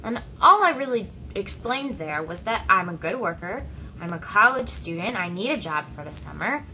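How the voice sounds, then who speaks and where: A woman with a bright, forward voice tells a personal story in the first person. She speaks at a middle pitch, phrase by phrase, leaving deliberate pauses for drama. A faint noise sits behind her voice. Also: very animated, poor audio. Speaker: interpreter voicing a signed personal story.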